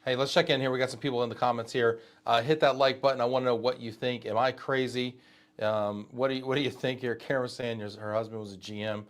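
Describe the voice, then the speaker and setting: A man from Texas hosting a live interview, talking in quick conversational bursts with many asides and fillers. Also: medium pitch, fairly even intonation. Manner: talks fast with many asides and fillers, speaks to viewers directly. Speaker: host of a live show.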